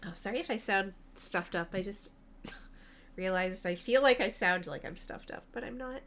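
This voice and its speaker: A woman's voice: mid-range voice, a bit bright, slight background noise. A woman streamer chatting casually with viewers while she draws, a little sniffly. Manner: slow, chatty, reads viewer messages aloud. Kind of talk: casual livestream chat while drawing.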